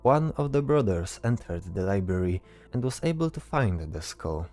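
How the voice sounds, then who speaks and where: A man's voice: on the low side, big pitch swings, normal speed, dark tone, soft background music. A man narrating a scripted fantasy story as the narrator of an audio drama.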